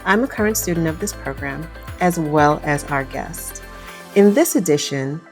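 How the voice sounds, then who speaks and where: A woman hosting a podcast, reading an upbeat introduction and asking questions with bursts of enthusiasm. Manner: enthusiastic, with sudden upward pitch jumps. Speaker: podcast host. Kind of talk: scripted introduction and interview questions.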